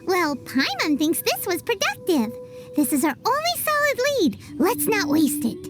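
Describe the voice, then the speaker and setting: An actress voicing a tiny childlike fairy companion in a video game, squeaky, excitable, bossy and cutesy. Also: female voice, moderate pace, full character voice. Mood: eager, curious. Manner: exclamatory, cutesy, indignant. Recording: loud music under the voice. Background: game background music.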